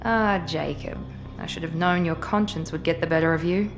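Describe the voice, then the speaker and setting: A cold, self-assured woman officer in a sci-fi video game, her voice a little lower than most women's. She talks in dry, clipped lines with a faint condescension. A musical score plays under the game audio. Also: steady tone, moderate pace, over music. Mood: cool. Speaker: Cerberus project director.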